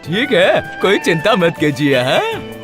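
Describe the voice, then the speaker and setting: A man's voice: sits high, wildly swooping pitch, slow, over music, heavily acted. A man playing an honest, obliging but cautious shopkeeper in a cartoon moral story, mild and hesitant.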